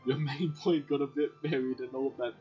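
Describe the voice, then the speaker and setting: A man's voice: medium pitch, steady tone, a little fast, warm tone. A young man giving casual let's-play commentary on a muffled, lo-fi voice track. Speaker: gaming video creator. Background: faint game music.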